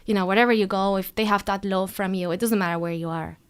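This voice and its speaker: A woman's voice: medium pitch, steady tone, fast talker, clear, resonant tone. Spanish-born woman hosting a podcast. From Spain. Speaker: podcast host and business owner. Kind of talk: casual podcast chat.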